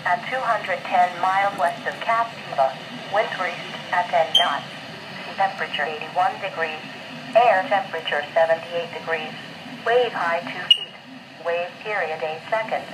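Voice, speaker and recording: A woman's voice: fairly low pitch, normal speed. A computerized woman's voice gives a scripted reading of marine reports as a weather radio announcer. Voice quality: synthetic computerized voice. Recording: noisy static-laden weather radio broadcast.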